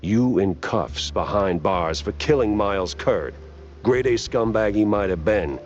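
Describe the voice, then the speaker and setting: A very low, world-weary gumshoe from a noir cartoon game. He talks in a wry, laconic drawl, hardboiled 1930s style. The sound is dull and lo-fi, with music behind him. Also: animated, a little slow. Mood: accusing, cool. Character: world-weary wisecracking male noir private detective, cartoon mouse.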